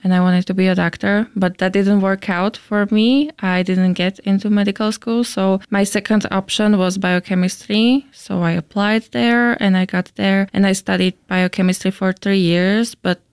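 Woman in her twenties, Slovak accent, smooth clear voice, medium pitch, dry recording, explanatory interview style. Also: little pitch movement, moderate pace, few pauses, no room sound. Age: 20s. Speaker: student interviewee.

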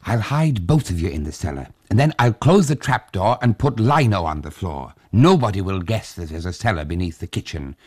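A man voicing a fussy, anxious old village grandfather who is pleading and given to loud, excitable bursts. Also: low-pitched, moderate pace, few pauses, slightly rough, heavily acted. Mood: reassuring. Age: older.

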